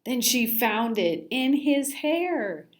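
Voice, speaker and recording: A woman's voice: fairly high pitch, big pitch swings, takes it slowly. A woman narrating a children's story aloud expressively, like a teacher reading to a class.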